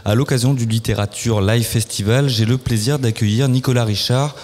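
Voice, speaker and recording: A man hosting a live radio interview at a festival, speaking in a measured, formal way with some hesitant fillers. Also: medium pitch, steady tone, darkish tone, big-room sound. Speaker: radio host. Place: festival venue, live broadcast.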